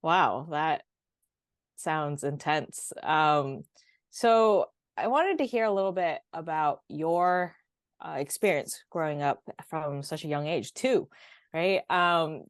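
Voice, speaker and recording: A woman hosting an interview, asking curious questions with soft reactions. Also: sits low, lively intonation, unhurried, dry recording, clean studio sound. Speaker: host. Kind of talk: casual interview.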